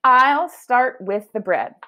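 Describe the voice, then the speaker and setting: Woman playing a high, cheerful, naive housekeeper. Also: very animated, takes it slowly, muffled recording, exaggerated voice. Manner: bright, agreeable, naive. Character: cheerful, literal-minded, eager-to-please housekeeper woman in a storybook. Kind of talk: children's storybook read-aloud.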